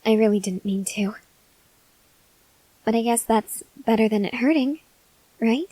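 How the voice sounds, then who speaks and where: A woman playing a gentle, awkward, hungry female vampire in an ASMR roleplay, speaking softly and hesitantly with breathy near-whispers and tender reassurance. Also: a little high, measured pace, no room sound, rough recording. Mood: careful, tender. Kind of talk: ASMR roleplay, intimate address to the listener.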